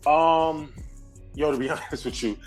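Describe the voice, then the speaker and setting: A man from Brooklyn, a guest artist telling stories in a relaxed, slangy way, often restarting his sentences. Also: a little high, a little slow, soft background music. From Brooklyn, New York. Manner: laid-back storytelling with slang and restarts. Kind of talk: casual interview talk.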